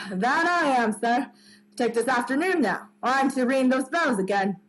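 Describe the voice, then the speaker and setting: A woman's voice: sits high, expressive, unhurried, fairly bright tone, a little hiss or hum, poor audio. A woman playing a talkative, cheeky old village postman and bell-ringer in a radio play. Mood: weary, proud. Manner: talkative, jaunty.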